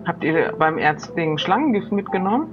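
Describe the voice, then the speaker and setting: A woman's voice: lowish voice, sing-song, normal speed, dull audio, over music. A woman playing a practical, teasing female pirate who herds her crew in a casual, slightly bossy way during an improvised adventure. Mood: amused, teasing.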